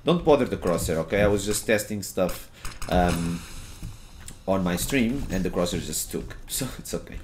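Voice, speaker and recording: A man's voice: sits low, slight background noise. Portuguese-accented man teaching a game. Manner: relaxed, halting, many fillers and restarts. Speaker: gaming tutorial creator. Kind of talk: casual game tutorial commentary. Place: home, at his computer.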